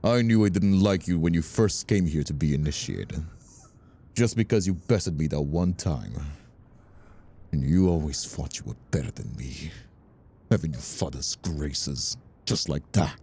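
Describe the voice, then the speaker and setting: An actor playing a vicious, bitter mob rival in an audio drama, mocking and theatrical in his drawn-out menace. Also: a bass voice, lively intonation, warm tone, breathy edge, heavily acted. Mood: bitter contempt. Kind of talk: audio roleplay drama acting.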